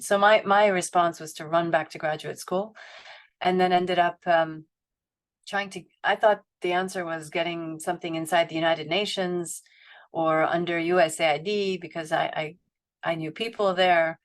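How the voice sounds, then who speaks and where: A middle-aged woman on a panel telling her own career story in a reflective, roundabout way, with many restarts and fillers, speaking over a video call from home. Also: fairly low pitch. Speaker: panelist at a family foundation. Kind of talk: webinar panel talk.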